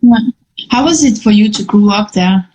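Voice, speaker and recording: A woman whose first language is Hungarian hosts the conversation and asks her questions slowly, restarting phrases as she searches for words. Her voice sits at an ordinary middle pitch. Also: expressive, mellow, slight background noise, poor audio. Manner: hesitant, searching for words, repeats herself. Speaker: host, interviewer. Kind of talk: casual interview questions.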